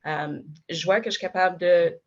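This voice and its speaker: A woman's voice: on the low side, fairly even intonation, normal speed, lo-fi sound. A woman working at a health centre, answering a panel question reflectively.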